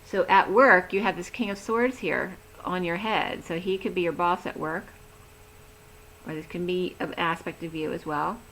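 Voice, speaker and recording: A woman giving a rambling, chatty tarot reading, talking with a voice that is going hoarse. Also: fairly low pitch, moderate pace, a bit bright, a little hiss or hum, poor audio. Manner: chatty, rambling, laughs easily. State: losing her voice. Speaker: tarot reader. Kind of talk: casual tarot reading to camera.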